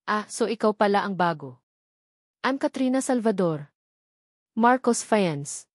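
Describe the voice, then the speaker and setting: A woman's voice: medium pitch, animated, a little slow, dry recording, clean studio sound. A woman voicing an elegant, haughty wealthy woman who speaks with cool condescension. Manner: condescending, coolly sweet.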